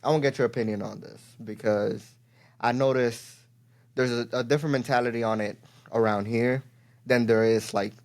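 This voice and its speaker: A man's voice: mid-range voice, fairly even intonation, normal speed, darkish tone. A young man from Miami co-hosting a podcast, talking loosely and profanely, joking and asking probing questions. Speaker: podcast co-host. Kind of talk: casual podcast chat.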